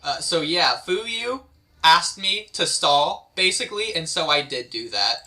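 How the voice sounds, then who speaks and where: Male host, higher register, intensely bright tone. Casual, rambling delivery with repeated words. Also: animated, unhurried. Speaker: host and writer of an amateur script table read. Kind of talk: casual group chat.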